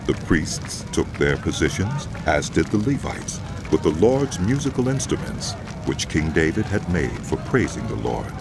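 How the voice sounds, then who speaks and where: A man's voice: low voice, big pitch swings, moderate pace, vocal fry, few pauses, music in the background. A man narrating scripture as a scripted, dramatized audio Bible reading.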